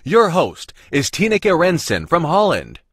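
A man's voice: a little high, huge pitch swings, measured pace, a bit bright, slightly rough, no room sound, clean studio sound. A man reading a scripted show introduction in announcer style. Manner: announcer read.

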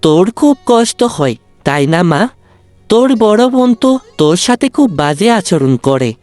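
A woman voicing a kind, worried father in a cartoon story, gentle and consoling. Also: fairly low pitch, very animated, normal speed, dry recording. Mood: tender, sympathetic. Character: kind, worried, ageing father of two daughters. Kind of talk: Bengali cartoon story dubbing. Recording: dry, music bed under the voice.

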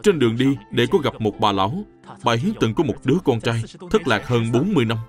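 A man's voice: medium pitch, big pitch swings, fast talker, faint music behind, natural voice. An actor playing a humble, upright young male scholar in a historical cartoon, formal, polite and earnest. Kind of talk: animated historical cartoon dubbing.

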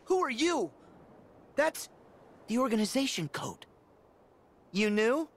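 A man voicing an earnest, stubborn teenage boy hero in a video game, plainspoken and quick to take offence. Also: very high voice, big pitch swings, a little hiss or hum, heavily acted. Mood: curious. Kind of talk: video game cutscene acting.

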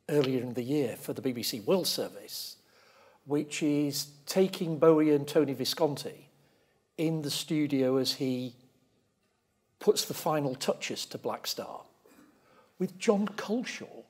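A middle-aged man hosting an on-stage interview, with deliberate, emphatic phrasing. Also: a little high, slightly rough. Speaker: interviewer, host. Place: large lecture theatre stage.

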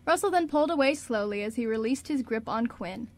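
A woman's voice: fairly high pitch, lively intonation, a little fast. A woman narrating a scripted story for a radio drama.

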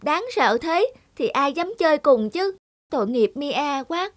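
A woman's voice: sits high, animated, a little slow. A woman playing an earnest, spirited young village child in a children's cartoon. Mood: worried.

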